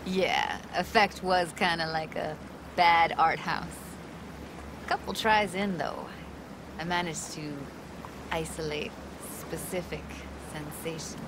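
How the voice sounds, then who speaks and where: An actress playing a playful, self-assured young techie and diver in a video game, with a slangy, teasing, laid-back way of talking. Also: female voice, lowish voice, fairly even intonation, takes it slowly, background noise. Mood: thoughtful. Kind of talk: cinematic game dialogue.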